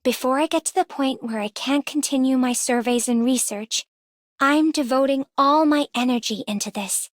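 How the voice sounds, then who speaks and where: A woman voicing a gentle, devoted young researcher in a video game, polite and earnest. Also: high-pitched, moderate pace, dry recording, clean studio sound, close to an everyday voice. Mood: grateful. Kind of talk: video game character voice lines.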